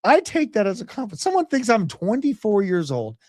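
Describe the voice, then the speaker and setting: A man in his thirties hosting a livestream, giving brash, animated market commentary and chatting with live viewers. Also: high voice, a little fast, no echo, studio quality. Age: 30s. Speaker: crypto livestream host.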